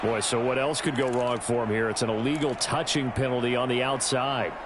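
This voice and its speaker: A man's voice: medium pitch, steady tone, a little fast, noisy background, close to an everyday voice. A man with an American accent calling American football play by play as a commentator in a video game. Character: male TV football play-by-play announcer, keen and polished.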